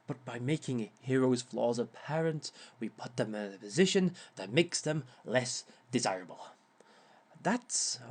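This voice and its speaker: A man playing a suave, smug reformed villain who lectures as a relationship expert in a theatrical, measured, drawn-out way. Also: mid-range voice, darkish tone. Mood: smug, instructive. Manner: theatrical, measured, drawn-out phrasing with sly chuckles. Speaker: speaker leading a seminar session. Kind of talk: scripted in-character audio roleplay lecture.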